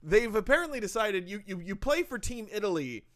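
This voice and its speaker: A man with a high voice that swings widely in pitch, hosting a casual comedy podcast. He runs through sports updates in a chatty way, with jokes and a laugh. He talks into a studio mic. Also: big pitch swings, fairly quick, fairly bright tone.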